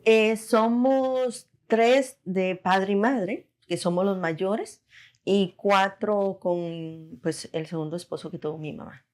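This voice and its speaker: Costa rican-born woman, 40s, average pitch, chatting about herself as a guest. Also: takes it slowly, darkish tone. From Costa Rica. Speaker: interview guest. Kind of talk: casual personal storytelling.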